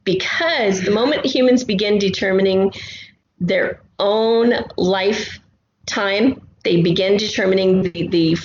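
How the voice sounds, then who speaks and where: Female guest, mid-pitched, thoughtful casual conversation, muffled and echoey room recording. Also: measured pace. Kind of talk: casual discussion, reflective.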